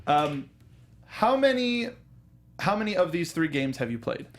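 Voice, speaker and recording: Man co-hosting, halting and animated. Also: a little high. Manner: halting, repeats words, animated storytelling with mimicked quotes. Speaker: talk show co-host. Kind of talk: casual film and video game discussion.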